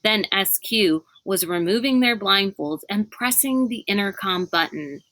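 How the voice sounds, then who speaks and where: A woman reads a children's novel aloud. Her voice is bright and mid-pitched, and she takes her time over the narration. The recording is dry, with hardly any room sound. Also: animated, a little slow, no echo, rough recording. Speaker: storybook reader.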